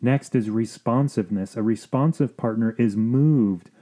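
A man's voice: mid-range voice, unhurried, warm tone. A man speaking as a counselor hosting a podcast, explaining things informally in an earnest, reflective way. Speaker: marriage counselor and podcast host.

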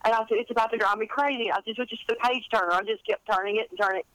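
A woman in her fifties telling stories in a chatty, animated, rambling and self-deprecating way, as an interview guest. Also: fairly high pitch, racing, dry recording, rough recording. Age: 50s. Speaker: guest author and college English teacher.

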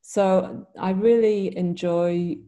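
A woman from Yorkshire with a northern accent softened by London, speaking as an interview guest in a relaxed, thoughtful way. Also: medium pitch, slow. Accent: Yorkshire with some London. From Yorkshire, England. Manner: relaxed, thoughtful, many ums. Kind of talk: casual interview chat.

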